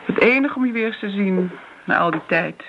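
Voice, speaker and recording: A woman's voice: mid-range voice, animated, moderate pace, background noise, natural voice. Actress doing a fussy eager older lady in a radio play, hesitant and gushing, bit muffled old recording. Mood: eager, effusive. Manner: effusive, hesitant, stammering. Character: flustered, eager-to-please older woman, forgetful old friend.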